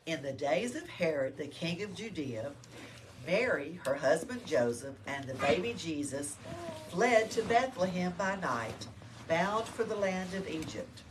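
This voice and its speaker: Expressive, lower-pitched woman reading to children. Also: unhurried. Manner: teacherly, prompting, expressive. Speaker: library story-time reader. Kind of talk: read-aloud to children with questions. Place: library room with children. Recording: faint background room noise.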